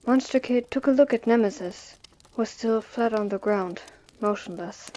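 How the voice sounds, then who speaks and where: A woman's voice: on the high side, normal speed, dark tone, low-quality recording. A woman reading scripted story narration for an audio drama.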